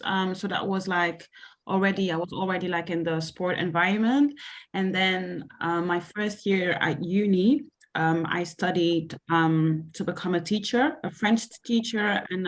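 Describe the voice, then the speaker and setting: A young woman from Belgium answering interview questions about her sports coaching, talking hesitantly with many fillers. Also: mid-range voice. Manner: hesitant, many ums and likes. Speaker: sports coach and mentor, guest.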